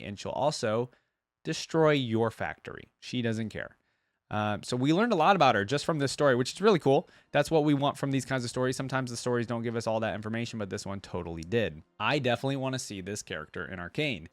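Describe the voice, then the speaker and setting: A man's voice: lowish voice, dry recording. A man hosting a reaction video, reading lore aloud and commenting in a conversational, explanatory way.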